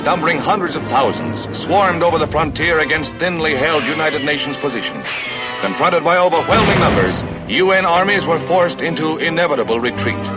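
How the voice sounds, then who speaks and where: A man's voice: on the high side, poor audio. An American man narrating a scripted 1950 newsreel in a dramatic, grave, declamatory manner. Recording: vintage 1950 newsreel soundtrack with music.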